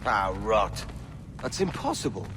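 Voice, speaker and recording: A man's voice: on the low side, big pitch swings, unhurried. A man playing a brash, greedy and defiant pirate captain in a video game, with a blunt, impatient way of talking. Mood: exasperated. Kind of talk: video game cutscene acting.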